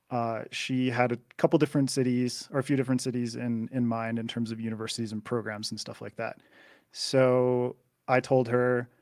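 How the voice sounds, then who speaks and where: A man's voice: mid-range voice, no room sound, studio quality. A Canadian man, a podcast guest, chatting casually and rambling a little over a video call. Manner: relaxed, rambling, swears casually.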